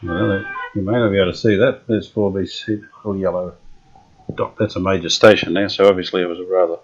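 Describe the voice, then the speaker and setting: Lower-voiced man, casual hands-on workshop narrator who thinks aloud, dull lo-fi sound. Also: level tone, slightly rough. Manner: thinks aloud while working, chatty and unhurried. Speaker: vintage radio restorer.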